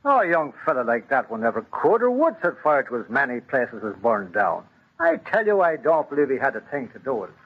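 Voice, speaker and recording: An actor playing a blunt, folksy, emphatic town commissioner with a husky voice in 1940s radio drama. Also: male voice, medium pitch, very animated, a little fast. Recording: muffled old radio recording.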